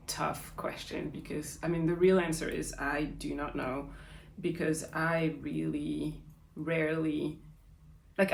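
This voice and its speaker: A woman's voice: deep voice, creaky. A young woman with a French accent hosting a podcast, answering listener questions in a casual, chatty way full of fillers. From France. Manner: chatty, lots of fillers and likes. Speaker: podcast host. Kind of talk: casual Q&A chat. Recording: roomy echo.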